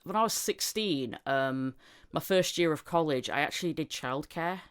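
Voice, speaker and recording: A woman's voice: low-pitched, animated, normal speed, clear, resonant tone. A woman in her thirties talking casually and candidly as a guest, telling her stories with acted-out quotes. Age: 30s. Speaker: voice actor, podcast guest. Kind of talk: casual chat.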